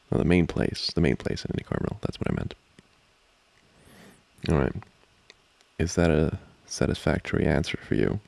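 A man playing an amorous, giggly student boyfriend in an intimate ASMR roleplay, talking haltingly and teasingly close to the mic. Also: extremely low pitch, creaky, dry recording, full character voice. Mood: playful, mildly protesting. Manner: halting, soft-spoken, chuckling, teasing. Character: amorous, giggly young male student boyfriend trying to study. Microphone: close microphone, dry.

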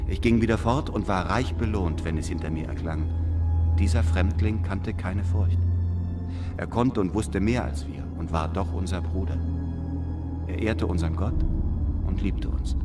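An actor playing an earnest, humble traveller and narrator in a literary radio drama, speaking in a measured way. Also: male voice, on the low side, natural voice.

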